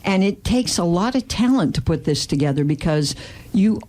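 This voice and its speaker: A woman's voice: lowish voice, animated, moderate pace, mellow. A woman hosting a live radio interview in a studio, effusive and emphatic, often repeating herself for emphasis. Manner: effusive, complimentary, emphatic repetition. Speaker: radio host interviewing guests. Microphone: studio microphone.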